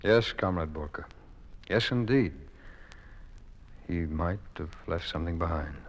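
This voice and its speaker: An actor playing an officious, obedient communist functionary with a formal manner, in 1950s radio drama style. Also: male voice, low voice, measured pace. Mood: deferential. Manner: formal, deferential. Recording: noisy old radio recording with clicks.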